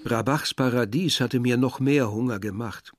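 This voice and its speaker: An actor playing a reflective man narrating his village youth in a literary radio drama, measured and articulate. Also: medium pitch, background noise, natural voice.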